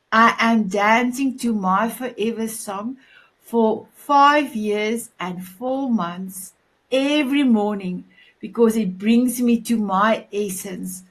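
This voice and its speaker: A woman's voice: medium pitch, fairly bright tone, low-quality recording. A woman, an Afrikaans speaker, hosting a casual online chat show, effusive and affectionate, often restarting her sentences. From South Africa. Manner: effusive, repeats "my beautiful", stumbling restarts. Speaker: host.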